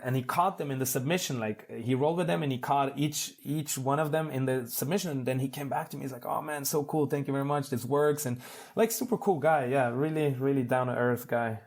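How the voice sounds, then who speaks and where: Thirty-year-old man chatting casually as a guest. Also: medium pitch. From Switzerland. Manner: rambling, conversational, informal. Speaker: podcast guest, competitive jiu-jitsu athlete. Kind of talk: casual interview chat.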